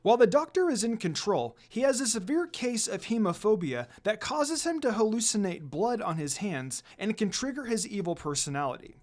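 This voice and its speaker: A narrator reading a scripted fan fiction story aloud in an expressive storytelling style. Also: medium pitch, moderate pace, few pauses. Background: background music.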